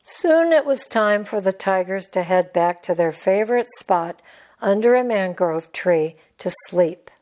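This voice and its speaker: A woman's voice: medium pitch, expressive, a little slow, a little mellow. A woman reading a scripted children's story as a storybook narrator. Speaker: storybook reader.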